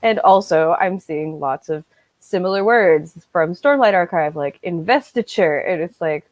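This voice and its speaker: A woman around her thirties chatting casually on a video call, acting out her reactions and quotes in an animated way. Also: fairly low pitch, a little slow, no room sound. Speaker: co-host of a book discussion. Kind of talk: casual chat. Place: small room at home, on a video call.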